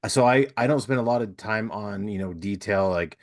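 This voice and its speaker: A man's voice: fairly low pitch, brisk. A man hosting a podcast interview, chatting casually and rambling with lots of "you know" fillers. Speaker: podcast host.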